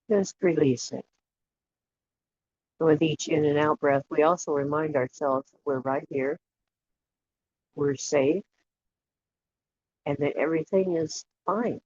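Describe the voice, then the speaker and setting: A guided imagery facilitator leading a relaxation meditation in a soothing, unhurried way, leaving long pauses between short phrases. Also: mid-range voice, a little mellow. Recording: dull lo-fi audio with clear background noise and clicks.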